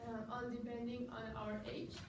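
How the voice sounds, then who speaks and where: A nineteen-year-old woman with a Mexican accent, a native Spanish speaker, giving a halting debate rebuttal in English. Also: a little high, flat delivery. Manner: halting, searching for words in English. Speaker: student debater. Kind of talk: spoken rebuttal in a classroom debate. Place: classroom. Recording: echoey, noisy room, mic far away.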